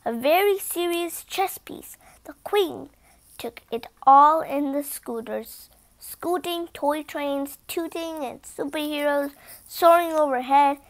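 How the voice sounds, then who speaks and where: High-voiced young girl reading slowly. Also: low-quality recording. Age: child. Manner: careful beginner reading with stumbles and expressive emphasis. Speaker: young reader reading a storybook aloud. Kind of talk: storybook read-aloud with spontaneous asides.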